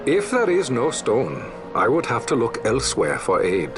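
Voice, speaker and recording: An actor playing a grave, shrewd noble resistance leader in a fantasy game, with measured, formal, archaic speech. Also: male voice, medium pitch, wildly swooping pitch, music in the background. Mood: firm, calculating. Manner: measured, stately, archaic phrasing.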